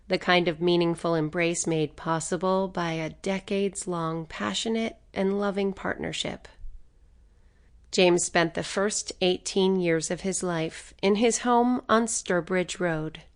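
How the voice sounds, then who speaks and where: A woman's voice: on the low side, measured pace. A woman reading scripted story narration for an audiobook.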